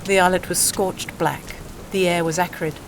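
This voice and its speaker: A woman's voice: on the low side, steady tone, moderate pace, slight background noise, rough recording. A woman reading scripted dramatic narration for an audio play.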